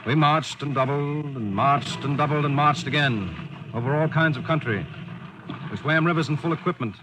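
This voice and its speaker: A man's voice: on the high side, moderate pace, noisy background, natural voice. An actor playing an earnest, steadfast young commando, a man narrating in 1940s radio style. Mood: earnest, proud. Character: earnest, steadfast young commando soldier recalling his training.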